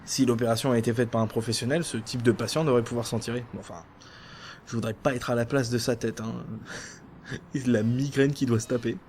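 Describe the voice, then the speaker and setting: A man's voice: mid-range voice, fairly even intonation, fairly quick, a little mellow, slight background noise, close to an everyday voice. A man playing a flippant colleague on an investigation in a French audio drama, casual and wry with loose, hesitant phrasing. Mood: wry, musing. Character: flippant, chatty male investigation colleague cracking dark jokes.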